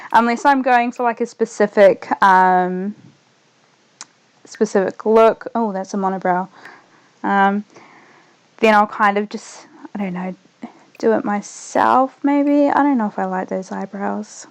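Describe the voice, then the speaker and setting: A young woman with a New Zealand accent tinged slightly Australian, chatting loosely as a streamer while she plays, trailing off quietly as she concentrates. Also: mid-range voice, a little slow. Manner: rambling, trails off softly when focused on the game. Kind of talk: casual gaming livestream chat.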